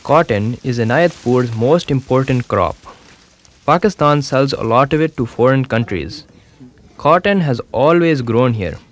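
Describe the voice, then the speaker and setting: A man voicing a calm young schoolboy narrator. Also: medium pitch, slight background noise, lightly acted. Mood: earnest. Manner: calm, measured first-person storytelling. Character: young village schoolboy telling his story. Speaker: documentary narrator. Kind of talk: scripted documentary voice-over. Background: music.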